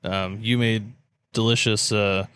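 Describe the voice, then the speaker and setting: A man's voice: lowish voice, little pitch movement, slow pace, a bit bright, clean tone. A man in casual conversation as a podcast co-host. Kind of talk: casual chat.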